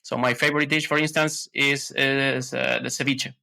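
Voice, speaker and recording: Bright-voiced man, hesitant. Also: mid-range voice, flat delivery, normal speed, creaky. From Ecuador. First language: Spanish. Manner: hesitant, many fillers and restarts. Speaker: podcast guest. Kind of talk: casual interview answers.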